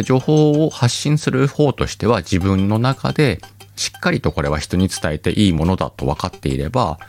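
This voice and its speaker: A man hosting his own talk show, musing aloud in a loose, self-mocking way and chuckling at his own slips, with a lilt. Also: deep voice. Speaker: podcast host. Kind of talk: casual solo radio-style talk.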